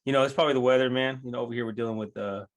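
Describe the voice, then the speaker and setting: A man's voice: medium pitch, steady tone, very fast. A man co-hosting a soccer podcast from home, chatting in an easygoing way with plenty of "you know" and "man". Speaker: podcast co-host. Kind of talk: casual podcast chat.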